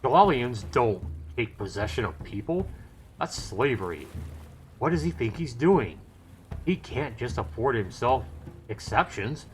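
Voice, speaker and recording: A man's voice: medium pitch, very animated, a little slow, slight background noise. A man voicing a courteous elf who is indignant and sympathetic, reading visual novel game dialogue. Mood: outraged.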